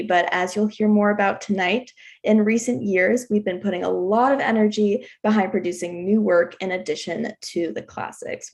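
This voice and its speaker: A woman's voice: on the high side, a little mellow. An American woman giving a spoken welcome as host of an online webinar, heard over a video call. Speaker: event host, theater company marketing coordinator.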